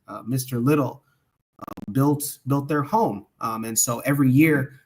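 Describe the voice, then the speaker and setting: A man based in Omaha hosting a livestream podcast, wrapping up the show in a laid-back, rambling way with frequent fillers. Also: mid-range voice. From United States, Omaha. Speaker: podcast host.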